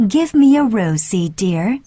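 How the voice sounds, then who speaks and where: A woman voicing a doting, gentle mother in a children's storybook, tender and affectionate. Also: medium pitch, sing-song, slow. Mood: kindly.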